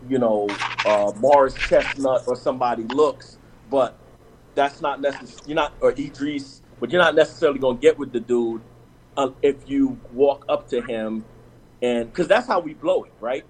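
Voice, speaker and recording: A man's voice: mid-range voice, normal speed, breathy edge, low-quality recording. A man on a discussion panel talking casually and telling personal stories, with lots of restarts and "you know what I'm saying.".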